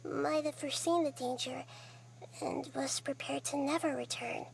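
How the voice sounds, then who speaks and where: A woman voicing a gentle, wise, childlike goddess of plants in a game, speaking softly and carefully. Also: sits high, steady tone, moderate pace, vocal fry, rough recording. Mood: thoughtful. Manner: soft, careful, thoughtful. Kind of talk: game cutscene voice acting.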